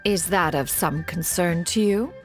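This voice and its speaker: A woman's voice: fairly low pitch, unhurried, over loud music, close to an everyday voice. An actress voicing a dignified female immortal crane spirit who speaks in a formal, archaic manner in a game. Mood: aloof. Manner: formal archaic speech, calm and lofty. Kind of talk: game voice acting.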